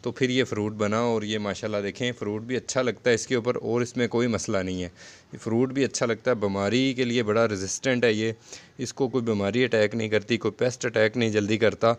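A man's voice: fairly low pitch, steady tone, brisk. A man giving a casual, explanatory gardening vlog narration in a conversational, repetitive way. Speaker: home gardening video host.

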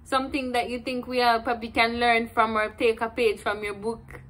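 A woman's voice: a little high, level tone, normal speed, a bit bright. A woman in her twenties with a Jamaican accent, asking questions in a casual interview. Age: 20s. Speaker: interviewer, granddaughter. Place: indoors, plain room.

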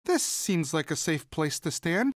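A man voicing a sweet, timid young monster student in an animated video game, speaking in a hesitant, polite, childlike and earnest way. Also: fairly high pitch, unhurried, no room sound, studio quality. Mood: shy, awkward. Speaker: video game character voice actor.

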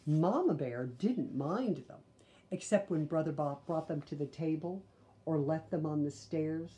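A woman reads a children's storybook aloud, deliberate and expressive, with chatty asides. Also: deep voice. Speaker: storybook reader. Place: small living room, indoors.

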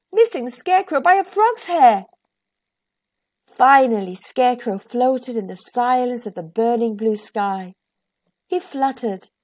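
A woman with a high voice narrates a children's storybook. She reads slowly and expressively, with lots of melodic rise and fall. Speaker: children's storytime host and reader.

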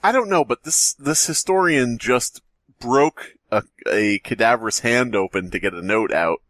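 A man's voice: medium pitch, animated, normal speed, a bit bright, no echo. A man hosting a comedy podcast, reading aloud and joking in a sarcastic, sweary way. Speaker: podcast host. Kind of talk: comedic read-aloud and banter.

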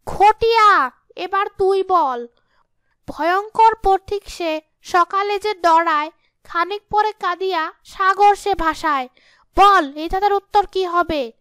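A woman's voice: very high voice, takes it slowly, a bit bright, no room sound, pitched above the performer's own voice, heavily acted. A woman voicing a bossy, threatening female ghost in a cartoon fairy tale, scolding and giving orders. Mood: commanding. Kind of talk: Bengali cartoon fairy-tale character acting.

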